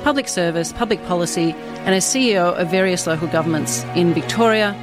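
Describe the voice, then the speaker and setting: A woman hosting a radio interview show, reading a measured scripted introduction before chatting with her guest. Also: fairly low pitch, over music. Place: radio studio.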